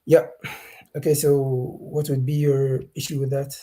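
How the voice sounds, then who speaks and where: A man calling into a live debate, halting and hesitant, stumbling over restarts while defending his view. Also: medium pitch, level tone, warm tone. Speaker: caller.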